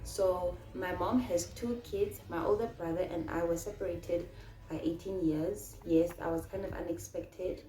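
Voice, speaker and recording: A woman's voice: lowish voice, a little slow. Chatty young woman in an echoey room. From Namibia. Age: 20s. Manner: chatty, animated storytelling with many fillers. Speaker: vlogger. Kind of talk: casual vlog Q&A.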